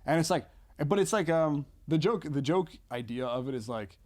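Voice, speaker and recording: A man chatting casually as a comedian guest on a podcast, talking in halting, hedging bursts with casual swearing and frequent check-ins with listeners. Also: on the high side, lively intonation, darkish tone.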